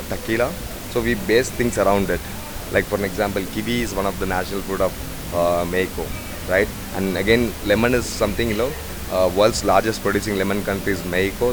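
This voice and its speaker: A man, a head bartender talking in a rambling way with many fillers. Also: on the low side. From Sri Lanka. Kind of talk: casual interview. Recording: noisy bar ambience.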